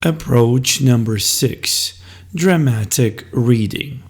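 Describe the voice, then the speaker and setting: A man teaching, explaining classroom reading activities in a slow, deliberate way. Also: mid-range voice, roomy sound. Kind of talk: instructional video talk.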